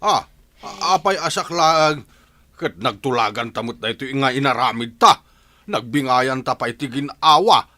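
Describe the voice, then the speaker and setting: A cowardly, scheming male medicine peddler for a radio drama. His voice is bright and very animated in pitch, with an Ilocano Filipino accent. He blusters and exclaims like a street hustler. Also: normal speed. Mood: panicked.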